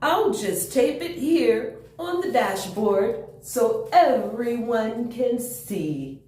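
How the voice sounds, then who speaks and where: A woman's voice: fairly high pitch, animated, extremely slow, mellow. A woman voicing a kindly, encouraging male school bus driver in a children's storybook. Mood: friendly, encouraging. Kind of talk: children's storybook read-aloud. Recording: roomy echo.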